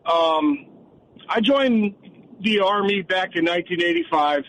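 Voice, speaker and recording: An older American man with a high voice, speaking as a caller on talk radio. He rambles casually and hesitates as he goes. There is faint noise on the phone line. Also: unhurried, fairly bright tone. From Connecticut, United States. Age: 50s or older. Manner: hesitant, meandering. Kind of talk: casual rambling personal anecdote. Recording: noisy call-in line on radio.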